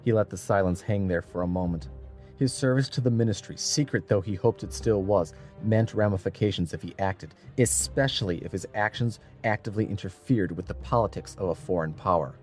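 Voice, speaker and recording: A man narrating an adventure audiobook in a dramatic, measured and solemn scripted style. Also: on the low side, a little mellow, soft background music.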